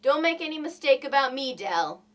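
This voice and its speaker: A woman's voice: sits high, big pitch swings, moderate pace, a bit bright. A woman voicing an affectionate, easygoing young husband in a storybook reading, plain-spoken and emphatic. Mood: reassuring. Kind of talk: storybook character dialogue.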